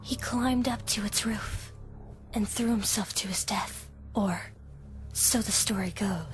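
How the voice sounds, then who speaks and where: A woman's voice: medium pitch, lightly acted. A woman playing a teenage schoolgirl with a theatrical, spooky storytelling manner in an anime fan dub. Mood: eerie, ominous. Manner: hushed, drawn-out, ominous storytelling. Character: teenage schoolgirl telling an eerie ghost story, easily frightened. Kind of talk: dramatic ghost-story narration in an anime fandub. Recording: background music behind the voice.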